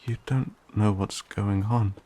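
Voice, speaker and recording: A performer voicing a shaken, emotional fantasy companion in an audio roleplay, speaking haltingly with stammers and whispers. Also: sits low, steady tone, dark tone. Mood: flustered, apologetic. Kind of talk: dramatic audio roleplay addressed to listener.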